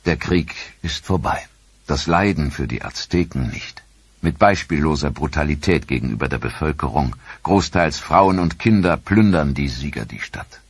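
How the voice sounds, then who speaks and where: A man narrating a history documentary in a grave, measured voice-over. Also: very deep voice, expressive, husky, no echo.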